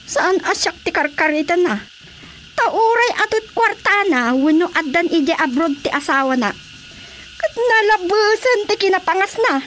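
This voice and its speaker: A woman's voice: very high voice, expressive, moderate pace, low-quality recording. An actress with an Ilocano accent playing a combative, indignant woman nursing a grudge in a radio drama comedy. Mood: bitter, scornful. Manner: taunting, indignant, confrontational. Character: Bilya, combative aggrieved woman quarrelling with a rival.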